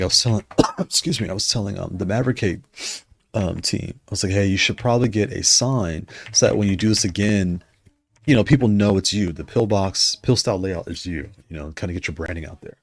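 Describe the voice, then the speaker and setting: A man chatting casually on a livestream, rambling and correcting himself as he goes, his voice going hoarse. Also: deep voice. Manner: rambling, self-correcting, conversational. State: losing his voice. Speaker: streamer discussing controllers.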